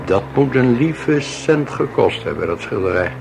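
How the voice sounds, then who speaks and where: A fussy museum visitor in a radio play. His mid-pitched voice swoops about as he exclaims and muses aloud, with music behind him. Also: big pitch swings, a little slow, creaky. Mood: musing. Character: fussy, excitable male art museum visitor. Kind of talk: radio drama acting.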